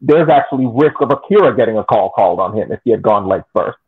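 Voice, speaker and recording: A man's voice: fairly low pitch, expressive, fast, husky. A man co-hosting a soccer podcast over a video call, debating casually and argumentatively with hypothetical questions. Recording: thin phone-like call audio.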